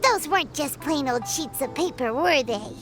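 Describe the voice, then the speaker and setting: A woman voicing a small, childlike, curious fairy companion in a video game, with a squeaky, bubbly delivery. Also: expressive, moderate pace. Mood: eager, curious. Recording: music behind the voice. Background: game music.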